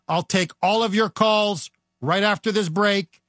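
A man guest-hosting a talk radio show, talking in a forceful, rhetorical way and speeding up as he presses his points. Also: high voice, a little slow, dry recording. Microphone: studio microphone.

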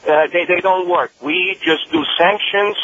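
A man's voice: high-pitched, a bit bright, highs cut off. A man from Romania calling into a talk radio show, speaking emphatically and indignantly, with frequent hesitations. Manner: emphatic, indignant, halting with fillers. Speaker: caller. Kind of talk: call-in radio.

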